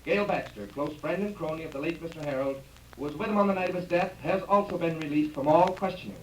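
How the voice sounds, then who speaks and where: An actor playing a formal, declamatory newsreader in a 1930s radio drama. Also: male voice, fairly high pitch, fast talker, close to an everyday voice. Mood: formal, dramatic. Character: male radio newsreader reporting local scandal. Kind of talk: 1930s radio news bulletin acting. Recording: distant, echoey, hissy old radio recording.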